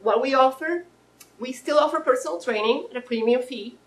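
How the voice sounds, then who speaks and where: A woman's voice: high voice, brisk, a little hiss or hum, low-quality recording. A woman in her thirties from Russia, giving a friendly, informal presentation of her fitness business to an audience, with restarts and fillers. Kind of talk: pitch presentation to a live audience.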